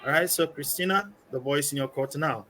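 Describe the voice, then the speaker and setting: A man's voice: fairly high pitch, a little fast, pushed and bright, poor audio. A man hosting an online panel discussion, introducing guests in a loose, upbeat way with many fillers. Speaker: panel moderator.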